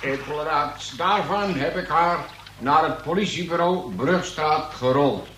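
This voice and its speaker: An actor in a radio play as a police sergeant, reading out a statement in a formal, deliberate manner. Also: male voice, fairly high pitch. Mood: solemn, deliberate. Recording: echoey radio play with faint noise.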